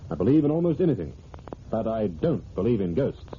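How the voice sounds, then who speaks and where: An actor playing a rational, unruffled amateur detective in an old radio drama, with a dry, quick-witted delivery. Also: male voice, on the low side, sing-song. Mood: reassuring, firm. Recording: tinny, noisy old radio recording.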